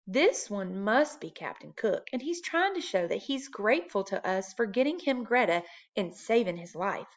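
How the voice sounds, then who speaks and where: A woman's voice: medium pitch, big pitch swings, moderate pace, hardly any pauses, lightly acted. Lady doing a cheery husband from a kids storybook, stubbornly upbeat, slightly muffled sound. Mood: delighted. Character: cheerful, stubbornly optimistic husband, fond of his penguins.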